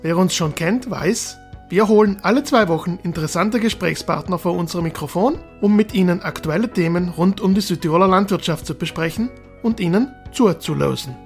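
A man's voice: high voice, fairly quick, faint music behind. A man, hosting a podcast interview and asking short, friendly questions. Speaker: podcast host, editor-in-chief.

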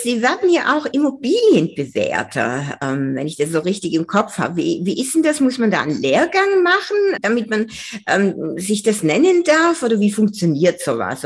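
A woman's voice: on the low side, creaky, breathy edge. A woman interviewing a guest in a friendly way, with many affirming fillers and frequent laughs.

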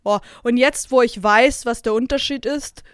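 A woman's voice: fairly high pitch, normal speed, smooth, no echo, clean studio sound. A woman chatting casually as a podcast co-host. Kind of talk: casual chat.

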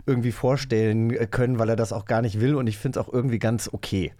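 A man hosting a casual interview chat, often halting and restarting his words as he puts a question. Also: mid-range voice, steady tone, warm tone, a little hiss or hum. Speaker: podcast host.

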